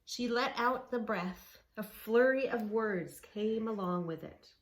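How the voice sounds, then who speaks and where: A woman's voice: on the high side, takes it slowly, poor audio. A woman hosting a children's storytime, narrating expressively and speaking directly to young listeners. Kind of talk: children's read-along storytelling with asides.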